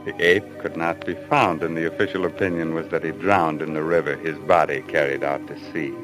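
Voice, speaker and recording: A man's voice: very deep voice, fairly even intonation, a little fast. An actor playing a haunted, guilt-ridden man losing his mind to ape-madness, in a brooding, melodramatic old-time radio style. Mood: brooding dread. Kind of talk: old-time radio thriller acting, first-person narration. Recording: vintage radio recording with music.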